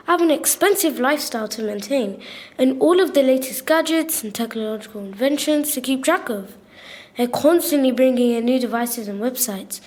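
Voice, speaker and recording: Girl playing a high-voiced snooty receptionist. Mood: exasperated, boastful. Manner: brisk, condescending. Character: snooty, harried receptionist of the internet building. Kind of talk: scripted radio play acting.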